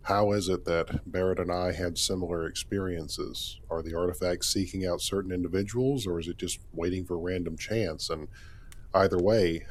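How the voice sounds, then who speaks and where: A man's voice: deep voice, natural voice. A man playing an easygoing, curious spaceship captain in a sci-fi game story, talking casually and thinking aloud. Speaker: actor voicing a game character in a fan story. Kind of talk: conversational character acting. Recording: clearly noisy background.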